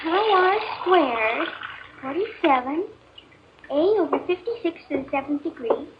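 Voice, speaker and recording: Very high-pitched little girl. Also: animated, measured pace, very noisy. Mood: offhand, preoccupied. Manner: childlike, offhand, slangy. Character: eager, secretive young girl absorbed in play. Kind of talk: 1950s radio acting. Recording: muffled vintage 1950s radio recording.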